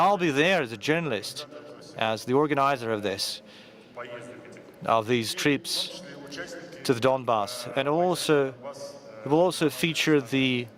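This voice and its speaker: A man, a journalist and event organizer, giving loose, informal remarks at a forum, with frequent pauses and restarts. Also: medium pitch, a bit bright. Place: large conference hall.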